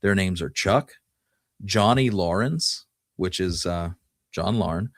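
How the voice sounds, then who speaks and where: A man's voice: low-pitched, slow pace, no echo, studio quality. A man hosting a talk show, telling history in a casual, conversational way and explaining it in detail. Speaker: podcast host.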